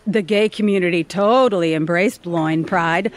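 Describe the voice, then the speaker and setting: An actress playing a brisk, dismissive junior marketing staffer with a curt manner in an audio comedy. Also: female voice, fairly low pitch, lightly acted. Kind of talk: audio theatre comedy acting.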